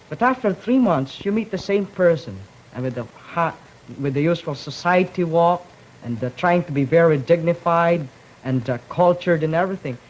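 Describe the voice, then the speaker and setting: A man's voice: fairly high pitch, slight background noise, rough recording. A young man from the Philippines, a student debater speaking hesitantly with many restarts on a 1950s panel. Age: young adult.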